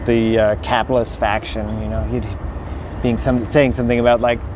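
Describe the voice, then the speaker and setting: A man's voice: mid-range voice, flat delivery, a little fast. A Danish-born man with an American accent, chatting as a podcast guest and telling stories with many fillers. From Denmark. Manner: eager, rambling storyteller with many fillers. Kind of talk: casual conversation. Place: outdoors at a busy waterfront pier. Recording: outdoor recording with loud traffic noise. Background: city traffic.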